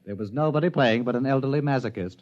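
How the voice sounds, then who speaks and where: A man's voice: mid-range voice, quick pace, a little mellow, a little hiss or hum, close to an everyday voice. A man playing a dry, sardonic scientist. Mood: wry. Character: wry male scientist, dry wit. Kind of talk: 1950s radio drama acting. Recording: dull old radio broadcast.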